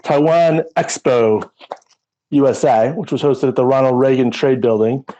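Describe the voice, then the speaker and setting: A man's voice: mid-range voice, moderate pace, mellow, dull audio. A man co-hosting a podcast, talking through current affairs in a casual, conversational way. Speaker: podcast co-host.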